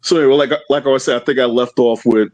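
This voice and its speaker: A man's voice: mid-range voice, fairly even intonation, fast, darkish tone, no room sound. Man chatting on a dry-sounding review panel. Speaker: podcast panelist reviewing an audio drama. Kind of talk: casual review discussion.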